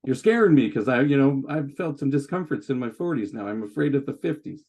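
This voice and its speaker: A man in his forties, a guest psychologist, talking in a relaxed, hesitant way with many fillers. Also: mid-range voice, brisk. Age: 40s. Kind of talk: casual conversation.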